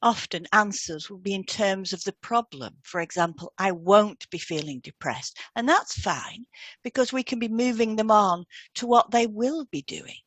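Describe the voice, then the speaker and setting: A woman reads scripted, instructional material as a podcast co-host. Her voice sits at an average pitch for a woman. The recording is very dry, with little room sound. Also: lively intonation, normal speed, a bit bright, dry recording.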